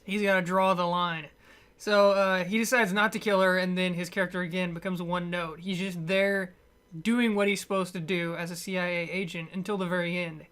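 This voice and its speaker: A man talking off the cuff in a casual movie review, halting and stumbling over his words, with wry, dismissive asides. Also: high voice, flat delivery, a bit bright, clean tone. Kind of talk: casual unscripted movie rant.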